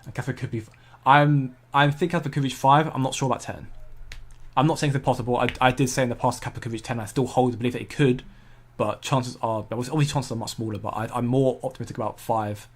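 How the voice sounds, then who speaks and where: A man's voice: medium pitch, fairly even intonation, fast. A man, hosting a casual crypto livestream and answering viewer comments in a chatty, self-correcting way. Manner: chatty, self-correcting, laughs easily at chat.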